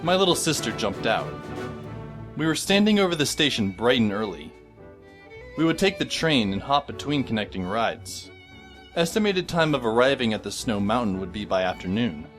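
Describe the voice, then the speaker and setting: A man's voice: mid-range voice, sing-song, moderate pace, fairly bright tone. A man narrating as a wry, sarcastic teenage boy with a dry, sardonic manner, over background music. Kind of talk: fan audio drama narration.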